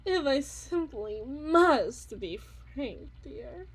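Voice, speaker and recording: A woman playing an obsessive, unhinged yandere wife in an ASMR roleplay monologue, theatrical and halting, with eerie, menacing tenderness and a breathy voice. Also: sits high, animated, exaggerated voice. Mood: musing, bitter. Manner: theatrical, halting, drawn-out repetitions, swinging from hushed to intense.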